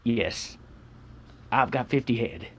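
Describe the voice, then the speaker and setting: A man's voice: medium pitch, slow pace. A man playing an earnest young rancher pleading his case in a radio western. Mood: anxious, earnest. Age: young adult.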